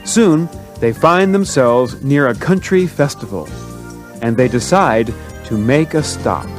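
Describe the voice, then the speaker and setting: Animated male narrator, music behind. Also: medium pitch, slow pace. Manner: clear, instructional. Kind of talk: scripted narration for English learners.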